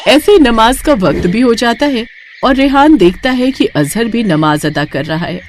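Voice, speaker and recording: A woman's voice: fairly high pitch, expressive, normal speed, a little hiss or hum. A woman narrator reading a scripted moral story for children.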